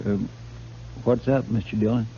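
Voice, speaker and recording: A man's voice: lowish voice, fairly even intonation, moderate pace, dark tone, natural voice. Man playing a folksy deputy on noisy old radio. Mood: dutiful, obliging. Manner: respectful, rustic. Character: deferential, folksy male deputy in frontier town. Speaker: radio drama actor. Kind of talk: 1950s radio western acting. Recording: muffled vintage radio recording, clear noise.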